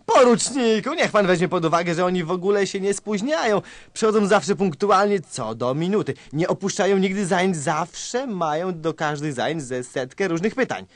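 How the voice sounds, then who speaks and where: A man's voice: high-pitched, animated, moderate pace, few pauses, no room sound. A man playing an exasperated, sarcastic police colonel in a satirical sketch, theatrical and emphatic, repeating himself. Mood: mounting horror. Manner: theatrical, emphatic, repeating words in mock praise. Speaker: sketch performer. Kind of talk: satirical radio sketch acting.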